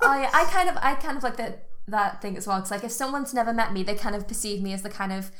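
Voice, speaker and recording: A woman's voice: medium pitch, fast, a bit bright. A woman in her twenties with a Scouse accent, chatting casually as a podcast guest, laughing often and restarting her sentences. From Liverpool, England. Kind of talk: casual chat.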